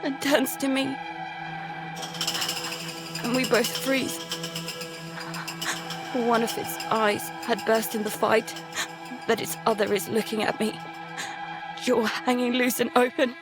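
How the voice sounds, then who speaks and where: A woman playing a terrified young daughter, narrating an audio drama in the first person in halting, emotional fragments. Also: on the high side, fairly even intonation, over music, low-quality recording. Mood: grief-stricken, shocked, then panicked, tense. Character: terrified young daughter recounting a monster attack at sea.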